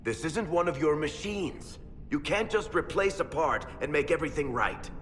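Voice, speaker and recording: A man playing a sardonic, world-weary male dwarf rogue in a video game, with dry, expressive delivery. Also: fairly high pitch, normal speed. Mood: dismayed, accusing. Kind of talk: video game cutscene acting. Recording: echoey cavern reverb, background noise.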